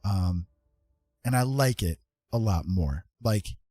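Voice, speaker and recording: Low-voiced man chatting on a livestream. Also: animated, slow pace, dark tone, husky. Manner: rambling, personable, addressing viewers directly. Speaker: livestream host. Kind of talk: casual livestream chat with viewers.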